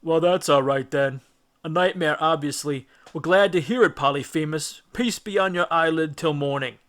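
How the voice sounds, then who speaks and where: A man's voice: a little high, normal speed, fairly bright tone, natural voice. Man putting on a dismissive neighbour giant. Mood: dismissive, soothing. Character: huge neighbouring cyclops, gruffly dismissive yet neighbourly. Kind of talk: audiobook character acting.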